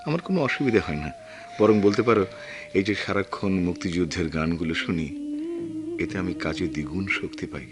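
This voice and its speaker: Deep-voiced brooding war veteran played by an actor. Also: male voice, music in the background, lightly acted. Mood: warm, earnest. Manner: earnest, halting, reflective. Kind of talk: Bengali radio drama acting.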